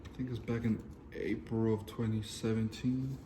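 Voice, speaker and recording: A man, joking and swearing casually in a relaxed home chat. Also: lowish voice, moderate pace, slight background noise. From Denver City, Texas. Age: 20s. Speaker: co-host of a couples channel. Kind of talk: casual chat while eating.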